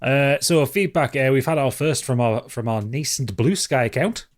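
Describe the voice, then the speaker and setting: A man's voice: medium pitch, expressive, moderate pace. A man co-hosting a casual podcast, reading out messages and chatting with dry humour and some swearing. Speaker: podcast co-host. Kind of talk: casual chat, reading out listener feedback.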